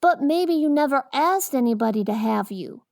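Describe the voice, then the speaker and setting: A woman's voice: sits high, lively intonation, moderate pace, gravelly, darkish tone, dry recording, studio quality. A woman voicing a talkative eight-year-old boy in an audiobook, earnest, mischievous and curious, with childlike, slangy speech. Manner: childlike chatter with slangy, ungrammatical wording. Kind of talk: dramatized audiobook character acting.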